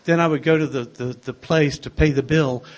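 An older man with a soft voice, sharing his own story in a gentle, wry way. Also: fairly high pitch, steady tone, fairly quick, lo-fi sound. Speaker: speaker sharing a recovery story. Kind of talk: personal testimony to a group.

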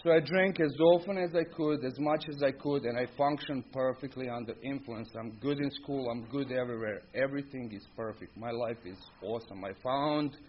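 A man's voice: medium pitch, normal speed, hardly any pauses. A middle-aged man from Croatia with a Croatian accent, telling his personal story at a recovery meeting in blunt, candid, short sentences. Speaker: speaker sharing his story at an Alcoholics Anonymous meeting. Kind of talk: personal recovery testimony, informal spoken storytelling. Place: live talk at a recovery meeting. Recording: dull lo-fi audio with clear background noise.

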